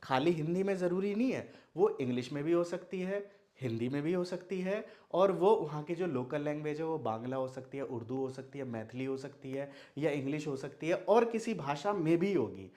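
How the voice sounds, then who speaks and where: A man's voice: fairly high pitch, fairly quick, dark tone. A young man from Bihar whose first language is Maithili, teaching an online Hindi lesson. He asks questions and then answers them himself. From Madhubani, Bihar, India. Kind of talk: explanatory video lesson.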